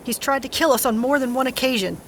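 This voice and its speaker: A blunt, distrustful woman with a fairly high voice in an audio drama. She is firm and unforgiving, with light noise in the background. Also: quick pace, a bit bright. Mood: hard, decided.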